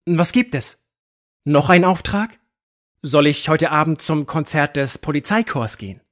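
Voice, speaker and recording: Audio drama casting: man as a young male trainee reporter, anxious and keen, on the high side. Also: animated, a little slow, fairly bright tone. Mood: eager. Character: eager, nervous young male newspaper trainee.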